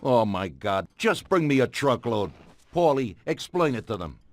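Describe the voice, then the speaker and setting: An actor playing a genial but domineering older mafia boss in a video game, with an expansive, patronizing manner. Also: male voice, medium pitch, very animated, moderate pace, close to an everyday voice. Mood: exasperated. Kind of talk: video game cutscene acting.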